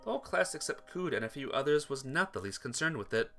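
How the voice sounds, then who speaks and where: A man's voice: medium pitch, very animated, quick pace, bright, forceful tone, faint music behind. A man reading visual novel text aloud during a playthrough, sometimes stumbling and rereading phrases. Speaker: video game player reading aloud.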